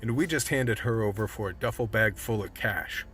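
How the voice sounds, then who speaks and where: A man's voice: fairly low pitch, moderate pace, fairly bright tone, soft background music, natural voice. Earnest, determined man playing a cop team leader. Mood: earnest, troubled. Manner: earnest, persuasive. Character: determined cop urging his team to a rescue. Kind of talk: radio play dialogue.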